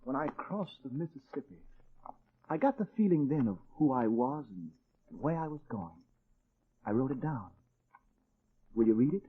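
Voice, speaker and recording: A man's voice: medium pitch, expressive, moderate pace, pauses often, husky. A man acting a lonely, dreamy young poet in a radio drama, speaking in an earnest, heartfelt way. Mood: wistful, resolute. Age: young adult. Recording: thin, phone-like old radio recording.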